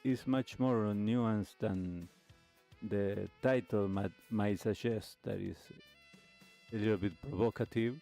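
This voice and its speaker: Man, show host, very slow pace, hesitant, halting, unscripted commentary, faint music behind. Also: lowish voice, steady tone, vocal fry, warm tone. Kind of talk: unscripted commentary introducing a video.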